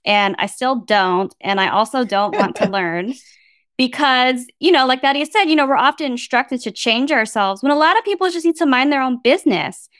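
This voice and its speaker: A woman's voice: high voice, moderate pace, hardly any pauses, a bit bright, dry recording, clean studio sound. An American woman from the Bay Area, speaking frankly and matter-of-factly as a guest academic in a conversational interview. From San Francisco Bay Area, United States. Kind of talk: candid conversational interview answer.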